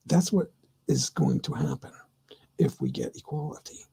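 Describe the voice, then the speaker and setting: A middle-aged man hosting his own livestream, talking casually and answering chat in a rambling, opinionated way with many halting restarts. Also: medium pitch, huge pitch swings, vocal fry, mellow, breathy edge. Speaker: livestream host.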